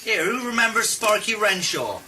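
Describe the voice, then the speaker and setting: A man's voice: high-pitched, sing-song, moderate pace, bright, forceful tone, slight background noise, exaggerated voice, low-quality recording. A man playing a sceptical, sardonic British army soldier in a radio play. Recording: crowd behind radio drama voices.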